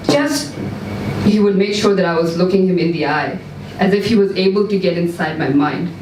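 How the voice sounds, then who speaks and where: A woman's voice: lowish voice, expressive, moderate pace. Woman reading a story, distant and echoey. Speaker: story reader. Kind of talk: live dramatic short story reading. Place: indoor event room, live reading. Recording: roomy, distant mic, background noise, live venue.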